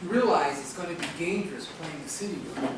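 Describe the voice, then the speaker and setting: High-voiced rebel elder acted by a man, echoey stage. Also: fast talker, close to an everyday voice. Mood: earnest, reflective. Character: seasoned rebel elder and parent, steadfast, idealistic mentor. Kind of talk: stage play acting. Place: theatre stage, recorded from a distance. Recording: noisy, echoey stage videotape from far off.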